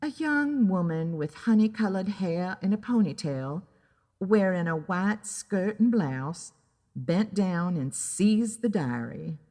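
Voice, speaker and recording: Slow lowish female narrator in reverb. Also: animated, dark tone. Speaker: story narrator. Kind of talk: scripted pulp audio fiction narration. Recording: long reverberant echo.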